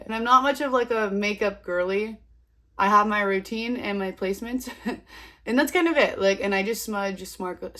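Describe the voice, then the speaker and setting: A woman's voice: medium pitch, a bit bright. A young woman in her twenties talks to her camera in a loose, rambling way, with a bit of self-deprecating humor. She is fighting a cold, so she sounds a little sniffly. She chats at home while she does her makeup. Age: 20s. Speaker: vlogger. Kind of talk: casual chat to camera.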